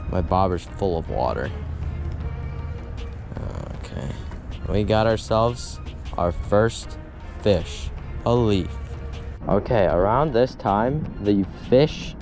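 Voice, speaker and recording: A young man chatting casually as he fishes, rambling and correcting himself as he talks into an action camera outdoors by a windy pond. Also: lowish voice. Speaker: fishing vlogger. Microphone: action camera, head-mounted and handheld.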